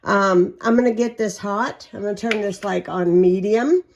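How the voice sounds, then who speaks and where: Chatty slightly hoarse woman in her forties. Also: mid-range voice, moderate pace, slight background noise. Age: 40s. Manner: chatty, rambling, many ums. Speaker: home vlogger. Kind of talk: casual catch-up and cooking chat.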